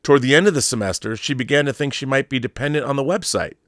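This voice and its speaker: A man's voice: mid-range voice, fast, dry recording, clean studio sound. A middle-aged American man giving casual, opinionated commentary as a show host, rambling and emphatic, with some swearing.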